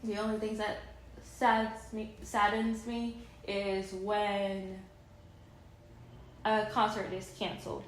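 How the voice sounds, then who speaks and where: A woman's voice: mid-range voice, flat delivery, slow pace, pauses often, roomy sound, a little hiss or hum, low-quality recording. A woman in her thirties chatting casually and enthusiastically in a vlog, rambling with many fillers and restarts. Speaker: vlogger.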